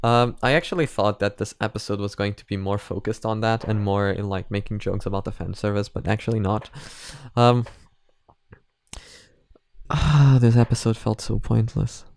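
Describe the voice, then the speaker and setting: A man's voice: on the low side, normal speed, vocal fry, a little mellow. A man hosting a reaction video, giving casual commentary and thinking aloud in a hesitant, repetitive way.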